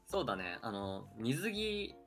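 A man's voice: medium pitch, big pitch swings, a little slow, a bit bright, faint music behind. A young man co-hosting a radio show in casual, friendly-register conversation. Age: young adult. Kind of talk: casual chat.

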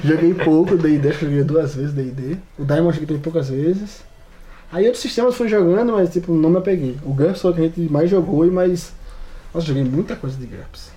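A man's voice: fairly high pitch, a little fast, warm tone, highs cut off. A man with a Brazilian Portuguese accent chatting casually as a podcast co-host and game master.

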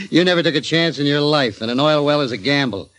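Shrewd, threatening crooked lawyer. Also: male voice, fairly high pitch, lively intonation, fairly bright tone. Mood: menacing. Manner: deliberate, sardonic, threatening. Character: shrewd, menacing male crooked lawyer demanding his cut. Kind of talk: 1940s radio drama acting.

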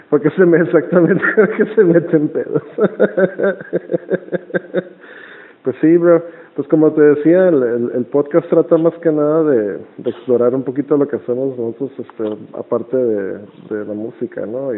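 A man with a northern Mexican accent chatting casually and jokily as a podcast host, full of slang. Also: medium pitch. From Nicaragua, moved to Tijuana, Mexico. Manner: slangy, jokey, laughs often. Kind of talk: casual phone chat for a podcast. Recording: thin, phone-like band-limited sound.

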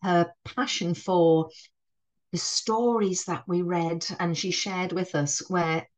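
Woman, middle-aged, Northeast English accent, lowish pitch, reflective, restarts sentences. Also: steady tone, takes it slowly. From Northeast England. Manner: warm, reflective, frequent restarts. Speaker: head teacher, guest. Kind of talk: conversational podcast interview.

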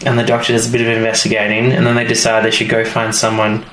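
A man co-hosting a podcast, recapping a story in casual, halting conversation with small asides. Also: lowish voice, monotone, a bit bright. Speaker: podcast co-host recapping a TV episode. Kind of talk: casual chat, episode recap. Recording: roomy echo, faint background noise.

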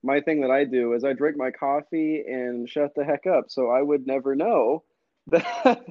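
A relaxed man talking casually in loose, slangy phrasing. His throat sounds a bit phlegmy, and the audio is dull and dry with no room sound. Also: medium pitch, a little slow, no echo. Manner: laid-back, loose slangy talk. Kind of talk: casual chat.

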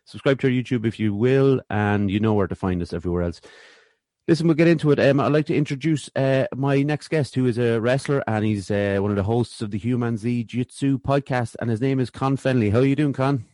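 A man's voice: medium pitch, brisk, mellow, no echo. An Irish man chatting casually as the host of a podcast interview.

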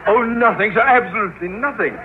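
An actor playing a self-important, easily flustered older school principal in a radio sitcom, blustering and stammering. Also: male voice, high voice, fast talker, lightly acted. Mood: flustered pleading. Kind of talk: old-time radio sitcom acting. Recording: narrow-band noisy vintage radio, audience.

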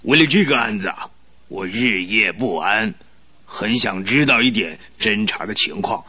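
An actor playing a pompous, irritable old duke who grunts and clears his throat in a radio drama. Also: fairly low pitch, expressive, measured pace, bright, forceful tone, exaggerated voice. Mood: grumbling. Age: older.

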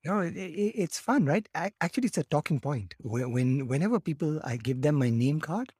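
Animated man in his fifties, dry sound. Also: a little high, normal speed, husky, dry recording. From born in Morocco, raised in India. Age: 50s. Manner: animated, acts out quotes, repeats words when starting. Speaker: guest, laughter yoga teacher. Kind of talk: podcast interview storytelling.

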